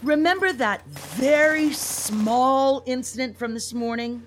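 Lady doing a chirpy high rabbot worker, breezy fake reassurance, super lively pitch, radio comedy. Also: slow, slight background noise. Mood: evasive, coaxing. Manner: breezy, falsely reassuring, officious. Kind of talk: comic radio play acting.

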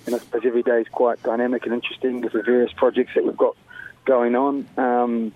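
A man from Sierra Leone speaks over a thin, tinny phone line. He talks in a measured, thoughtful way and often pauses to find the next word. His voice sits at an ordinary middle pitch. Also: level tone. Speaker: caller. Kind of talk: phone interview. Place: at a hockey game.

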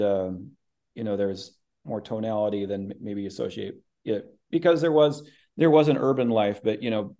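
A man's voice: fairly low pitch, warm tone. A man from the American Midwest, a voice actor, talking about his work as an interview guest, thoughtful and rambling with many restarts.

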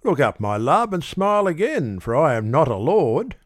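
A man's voice: fairly high pitch, very animated, normal speed, no room sound, clean studio sound, voice pushed up. A man voicing an ardent young shearer posing as a lord, wooing in a declamatory manner in a recited ballad.

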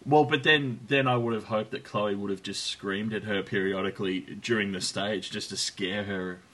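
An Australian man co-hosting a sports podcast, chatting casually with wry jokes and halting, self-interrupting phrasing. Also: lowish voice, steady tone, fairly bright tone. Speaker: cycling podcast co-host. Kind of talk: casual unscripted chat about sport.

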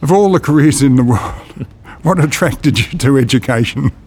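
A man's voice: medium pitch, animated, normal speed, darkish tone, slightly rough. Conversational male podcast host. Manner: conversational, prompting with short murmurs of agreement. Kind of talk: podcast interview.

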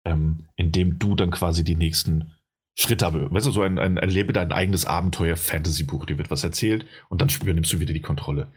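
Low, husky-voiced man, casual chat, rambling with fillers, game talk. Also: steady tone, a little fast. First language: German. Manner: rambling, many fillers and restarts. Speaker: game reviewer.

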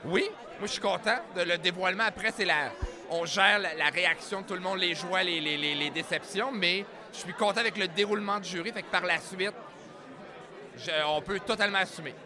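Canadian French man, high-pitched, explanatory interviewee, clear crowd noise. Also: brisk. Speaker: interviewee, awards jury organiser. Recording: busy crowd noise around.

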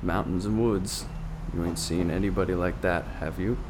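Casting: male actor as talkative, folksy filling-station attendant, lowish voice, radio play, clear vehicle hum behind. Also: steady tone, normal speed, darkish tone. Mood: amused, doubtful. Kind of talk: radio drama acting.

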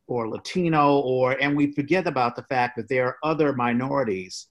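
A man's voice: medium pitch, moderate pace. A man co-hosting a casual interview, asking questions in a polite, tentative, roundabout way. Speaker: podcast co-host asking questions.

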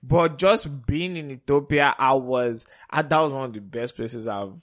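A man, a podcast guest, chatting casually and haltingly about a trip. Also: medium pitch. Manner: easygoing, hesitant, repeats words. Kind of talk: casual chat.

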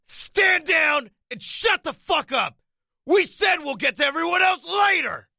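A hostile mercenary played by an actor in an audio drama, domineering and aggressive. The voice is gravelly, piercingly sharp and often shouted, recorded dry. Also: extremely high pitch, lively intonation, measured pace, dry recording, exaggerated voice. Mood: furious. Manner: barking, domineering. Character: hostile, aggressive armed mercenary barking orders.

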